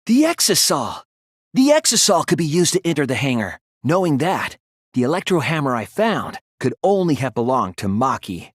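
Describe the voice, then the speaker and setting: A man's voice: medium pitch, husky, dry recording, clean studio sound. An actor playing an earnest young male detective student in a video game, reasoning carefully step by step. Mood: urgent realization. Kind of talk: video game voice acting, deductive explanation.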